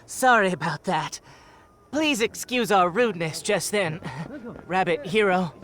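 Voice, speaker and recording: A man's voice: sits high, animated, takes it slowly, fairly bright tone, full character voice. A man voicing a cocky, theatrical gang thug in a video game. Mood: fawning. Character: cocky rat-gang thug, fawning toward his betters. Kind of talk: video game cutscene acting.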